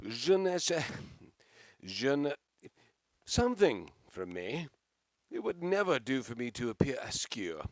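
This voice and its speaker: An actor playing a vain, tipsy Shakespearean stage star with grandiose theatrical delivery in a radio play. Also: male voice, on the high side, in a large hall. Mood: pompous, tipsy.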